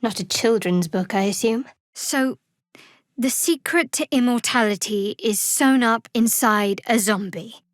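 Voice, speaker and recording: A woman performs a young female cleric sworn to a dark goddess, voiced for a video game. Her voice sits a little high for a woman. She is guarded and sardonic, with dry, wry phrasing, recorded close with no room sound at all. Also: measured pace, no echo, natural voice. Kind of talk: video game character dialogue. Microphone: close studio microphone, dry.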